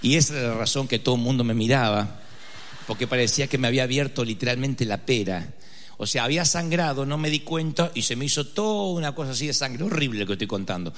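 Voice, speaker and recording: Argentine male pastor, mid-pitch, humorous and emphatic, reverberant hall. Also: animated, fast talker, fairly bright tone. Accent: Argentine Spanish. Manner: humorous, conversational storytelling with dramatic emphasis. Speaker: preacher. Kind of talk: sermon with personal anecdote.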